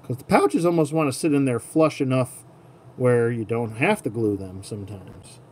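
A man's voice: mid-range voice, moderate pace, darkish tone. A man giving a casual hands-on tutorial as a hobby host, at times murmuring to himself as he works. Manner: murmurs to himself while working with his hands. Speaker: toy collector host. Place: indoor tabletop setup. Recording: clearly noisy room ambience.

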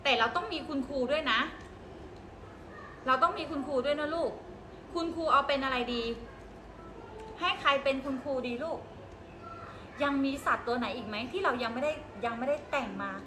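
A woman's voice: sits high, moderate pace, long pauses, echoey room, noisy background. A woman preschool teacher patiently coaxing small children in a classroom to make up a story together.

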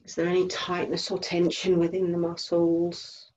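A woman with a slightly low voice guides a body scan meditation. She gives gentle instructions in short, spaced phrases, like a yoga teacher settling a class. The recording is a little dull and lo-fi. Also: completely flat delivery, normal speed.

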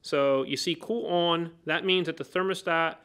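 A man with a somewhat raised, smooth and clear voice teaches new technicians at a workbench. He explains each step in plain words and links the steps with "so" and "now.". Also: on the high side, moderate pace, a bit bright. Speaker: HVAC trainer. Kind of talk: hands-on technical demonstration. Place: indoor workbench.

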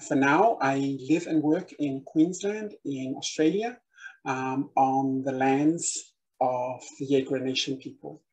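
A woman's voice: extremely low pitch, slow pace, a little mellow, low-quality recording. A middle-aged woman from Samoa with a Samoan accent, giving an earnest prepared talk as a panel speaker over a video call, often repeating words as she searches for them. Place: room with bookshelves indoors. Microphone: webcam on a video call.

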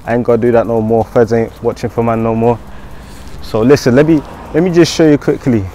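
A man's voice: medium pitch, steady tone, brisk, a little hiss or hum. Slangy young London man vlogging outdoors. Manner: slangy, swearing, chatty, shout-outs. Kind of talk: casual walk-and-talk vlog. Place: outdoors on streets by a school, then a garden patio.

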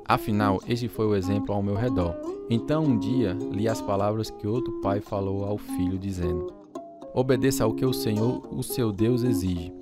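Actor as earnest low-voiced Brazilian pastor over guitar. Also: male voice, moderate pace, natural voice. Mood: reflective. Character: adult pastor narrating his life story, earnest, reflective. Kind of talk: radio drama narration and dialogue. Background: guitar music.

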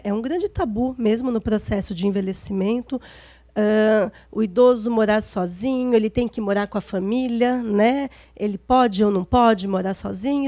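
A middle-aged Brazilian woman, slightly hoarse, giving a conversational public lecture into a handheld microphone, often adding small checking tags. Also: medium pitch, mellow, no echo. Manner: informal, frequent checking tags like "né". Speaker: lecturer, gerontology specialist. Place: event stage before seated audience, indoors.

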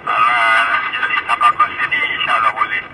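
A man's voice: medium pitch, monotone, moderate pace. Distant Malaysian man on noisy radio. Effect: two-way radio transmission. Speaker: amateur radio operator at a base station. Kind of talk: casual two-way radio chat. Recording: distant radio audio, loud vehicle noise.

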